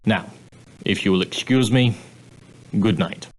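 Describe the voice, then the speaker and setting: An actor playing a prim, straight-laced ship's steward in an adventure game, speaking formally with dry, condescending politeness. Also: male voice, on the low side, measured pace, poor audio. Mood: formal, courteous. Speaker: steward and guide on an ocean liner. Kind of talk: scripted adventure game character dialogue.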